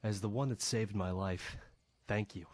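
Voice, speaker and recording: Man acting a low-voiced wounded rebel fighter. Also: fairly even intonation, a little slow, darkish tone, no room sound. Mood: grateful, earnest. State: injured, in pain. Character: wounded male rebel fighter, grateful, wry, loyal. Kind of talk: video game character dialogue.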